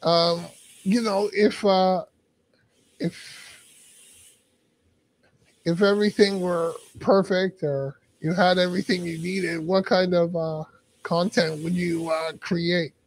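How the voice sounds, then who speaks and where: High, gravelly-voiced man hosting. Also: flat delivery, measured pace, dry recording, rough recording. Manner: encouraging, affirming interviewer. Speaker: host. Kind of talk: casual interview chat.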